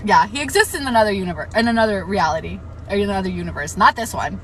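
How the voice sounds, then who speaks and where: Young woman rambling about books on a live, bright edgy voice going a bit hoarse, lots of "like", faint hiss behind. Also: medium pitch, fast talker, rough recording. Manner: chatty, frank, swears freely, many "like" fillers. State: hoarse, losing her voice. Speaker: book livestreamer. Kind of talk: casual live-stream book chat.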